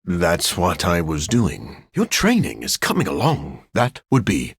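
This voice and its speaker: Low raspy vampire scientist for a video game, formal n deadpan, brooding but dryly sarcastic. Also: male voice, measured pace, no room sound, clean studio sound, exaggerated voice. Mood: dry, wry. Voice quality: raspy, gravelly. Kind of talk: video game character voice lines.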